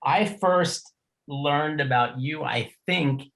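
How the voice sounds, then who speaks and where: A man's voice: on the high side, slow pace, vocal fry, a bit bright. A middle-aged man hosting a casual conversational interview in an informal, chatty, plain-spoken way. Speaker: podcast host.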